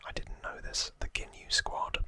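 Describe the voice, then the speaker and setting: A man whispering as an ASMR host, telling trivia in a hushed, halting storytelling manner. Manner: hushed, slow, halting delivery.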